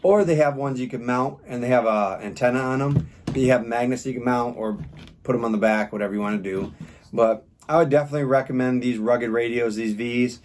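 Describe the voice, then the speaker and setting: A man's voice: mid-range voice, fairly quick, darkish tone. A man giving a casual, unscripted product review in a plain-spoken, rambling, informal way. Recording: clear background noise with clicks.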